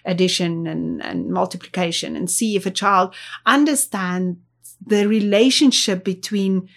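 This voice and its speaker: A woman's voice: on the low side, fairly even intonation, a little slow, creaky. Woman. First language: Afrikaans. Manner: explanatory, thinks aloud with restarts. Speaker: guest, associate professor. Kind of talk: interview.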